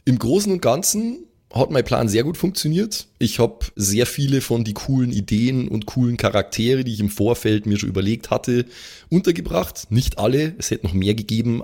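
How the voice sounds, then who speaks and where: Low-voiced Austrian man chatting casually. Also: a little mellow. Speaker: tabletop game master and podcast regular. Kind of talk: casual reflective chat, German with English gamer slang.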